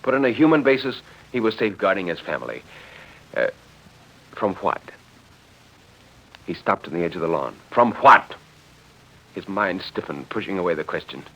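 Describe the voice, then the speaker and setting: Male narrator, low animated voice, dramatic, literary, 1940s radio storytelling, dull vintage recording. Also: normal speed, vocal fry, long pauses. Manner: literary, expressive.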